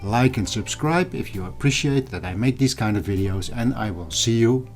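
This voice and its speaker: Man, middle-aged, mid-range pitch, measured explanatory vlog narration, direct address to viewers, guitar music in the background. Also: animated. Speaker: video channel host. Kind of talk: scripted vlog narration over old photos and footage.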